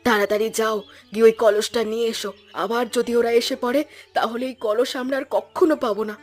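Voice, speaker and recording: Cartoon village wife voiced by a woman, brisk, slightly high, judgmental, clear music underneath. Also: fairly even intonation, close to an everyday voice. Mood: urgent. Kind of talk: Bengali animated fairy tale dubbing.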